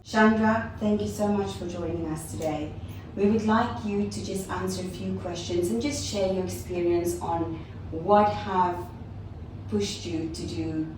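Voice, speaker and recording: A woman's voice: on the low side, fairly even intonation, measured pace, background noise. Woman host, very echoey and distant. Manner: earnest, long multi-part questions. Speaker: interviewer, host. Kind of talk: interview questions. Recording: very echoey room, distant from mic, music behind.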